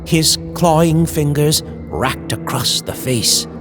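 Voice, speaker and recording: Slow, dramatic male horror narrator. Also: on the high side, animated, over music. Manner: dramatic, suspenseful, deliberate. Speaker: horror story narrator, channel host. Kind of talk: scripted old-time radio style horror narration.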